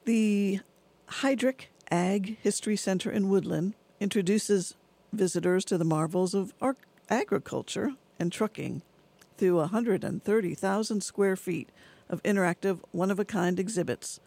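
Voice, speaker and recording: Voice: mid-range voice, normal speed, darkish tone. A radio DJ presenting songs and reading announcements between tracks in a studio. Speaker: radio DJ and host.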